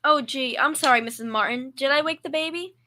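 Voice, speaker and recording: A woman's voice: high voice, brisk, sharp tone, a little hiss or hum. A young female middle school student playing a sensible, weary, affectionate working woman in an old-time radio comedy. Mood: firm.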